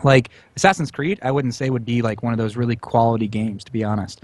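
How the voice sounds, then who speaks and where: A man's voice: medium pitch, fast. A man co-hosting a podcast over an online call, talking casually in a rambling, hedging way. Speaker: podcast co-host. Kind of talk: casual group chat.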